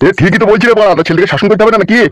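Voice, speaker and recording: Cartoon village father male actor: foul-mouthed, argumentative, very rapid delivery. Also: sits high, muffled recording, lightly acted. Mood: angry. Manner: coarse, swearing, argumentative. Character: crude, grumbling village father. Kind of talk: comedy cartoon dub.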